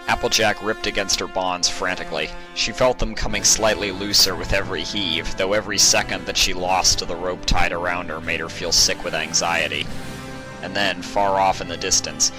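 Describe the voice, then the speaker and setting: A man reading a story aloud as dramatic scripted narration, grave and measured, with music behind him. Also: low voice. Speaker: audiobook narrator.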